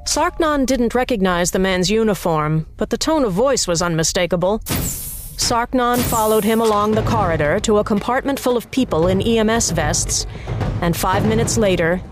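A woman narrating an audiobook in an even, measured storytelling manner. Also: medium pitch, over music.

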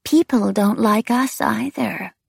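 A woman voicing a sinister, demented female wraith who taunts in a breathy, whispering way with sing-song repetition. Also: on the high side, takes it slowly, no echo, clean studio sound, pitched above the performer's own voice, exaggerated voice. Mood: taunting.

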